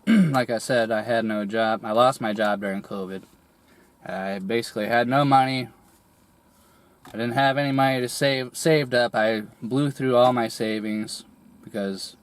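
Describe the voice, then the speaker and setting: A young man getting over a cold, his voice a little raspy, telling a personal story slowly with many pauses, fillers and throat clearing. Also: mid-range voice. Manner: hesitant, rambling, many fillers and restarts, clears throat often. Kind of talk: candid personal monologue.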